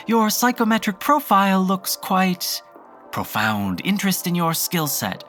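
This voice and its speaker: A narrator with an androgynous voice reading a scripted story in a measured, wry, reflective way. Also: medium pitch, fairly bright tone. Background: music under the voice.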